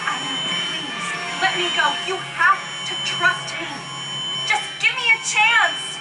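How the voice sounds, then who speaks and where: Young female game soldier, very high voice, pleading, urgent, distant roomy sound, loud music. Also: animated, takes it slowly, heavily acted. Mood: desperate pleading. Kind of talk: video game cutscene acting.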